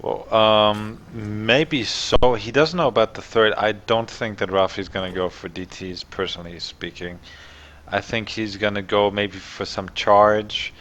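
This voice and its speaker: A man born in Germany casting a live strategy game, relaxed and conversational, thinking aloud with fillers. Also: fairly low pitch, measured pace, lo-fi sound. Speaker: esports caster.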